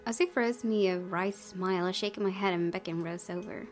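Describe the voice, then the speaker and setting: A woman's voice: mid-range voice, normal speed. A woman hosting a let's play, reading visual novel text aloud and adding casual comments. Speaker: gaming video host. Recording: game music under the voice.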